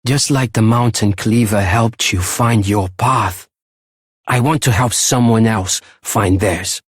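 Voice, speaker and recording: An actor playing an earnest, gentle villager with a Portuguese accent in a video game, sincere, polite and husky. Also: male voice, on the low side, slow pace, no echo, studio quality. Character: earnest, gentle villager, devoted child of a lost father. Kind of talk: video game character acting.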